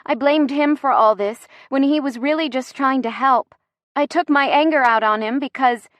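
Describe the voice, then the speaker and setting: A woman's voice: sits high, moderate pace, no echo, voice pushed up, lightly acted. A woman voicing an impulsive, emotional young woman, the heroine of a romance story, with emphatic delivery. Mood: anguished. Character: impulsive, dramatic, apologetic young heroine in love.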